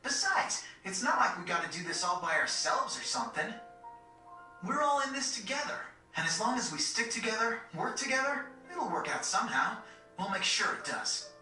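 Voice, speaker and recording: A man voices a plucky, bossy boy leader in a game cutscene, high and lively in pitch. He is brisk and decisive, with heavy echo around the voice. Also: exaggerated voice. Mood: firm, rallying. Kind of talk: video game cutscene acting. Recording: very echoey, distant, faint music.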